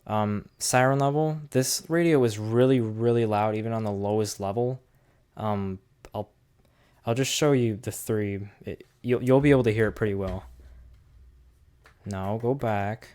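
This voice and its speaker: A man with a fairly low, clean and smooth voice talks through a product demo. He speaks informally and unscripted, thinking out loud as he shows things. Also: a little slow. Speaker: product reviewer. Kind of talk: casual unscripted hands-on demo.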